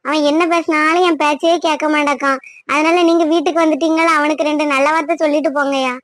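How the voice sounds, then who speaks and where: Very high, squeaky cartoon mother. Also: level tone, quick pace, fairly bright tone. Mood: fretful, complaining. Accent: southern Tamil Nadu. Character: chatty, worried, complaining village mother of a wayward son.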